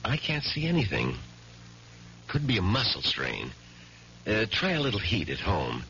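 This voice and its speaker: An American actor playing a matter-of-fact, noncommittal family doctor in a 1970s radio drama. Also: male voice, on the low side, wildly swooping pitch, measured pace, gravelly, bright, forceful tone, full character voice. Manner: clinical, noncommittal. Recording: dull, hissy vintage radio recording.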